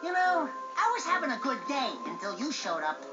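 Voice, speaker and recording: A man's voice: very high-pitched, sing-song, a little fast. A man voicing a small, cocky, wisecracking male animal sidekick in a cartoon video game, sarcastic and whiny. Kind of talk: comic game cutscene acting. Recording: dull game audio, loud music behind.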